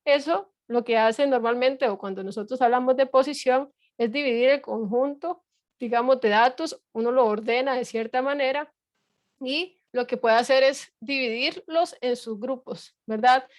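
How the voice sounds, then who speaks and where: A woman's voice: high-pitched, normal speed, no echo, clean studio sound. A middle-aged woman lecturing a class online, explaining patiently with frequent fillers and rhetorical checks. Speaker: university lecturer.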